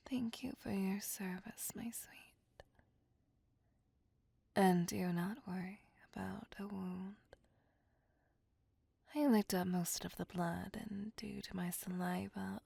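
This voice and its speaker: A woman's voice: medium pitch, gravelly, warm tone, breathy edge, dry recording, exaggerated voice. A woman playing a seductive, possessive vampire in an intimate ASMR roleplay, whispering breathily with formal, courtly tenderness. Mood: doting, soothing. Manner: slow, intimate, formal, coaxing endearments.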